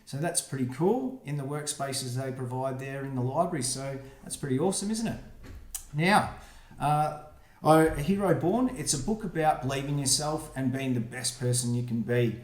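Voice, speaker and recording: A man, the book's author, reading his rhyming picture book aloud for children, with quiet asides about the pictures. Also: medium pitch, normal speed. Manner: friendly, reads rhyming verse with quiet asides. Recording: roomy echo.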